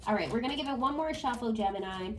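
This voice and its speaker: A woman with a hoarse, fading voice from a cold, giving a chatty tarot reading at home with lots of fillers. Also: fairly high pitch, fast talker, recorded from a distance, heavy background noise. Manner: chatty, filler-heavy, talks to spirit and viewers. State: hoarse, losing her voice from a cold. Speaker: tarot reader. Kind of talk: casual tarot reading to camera. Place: upstairs room at home.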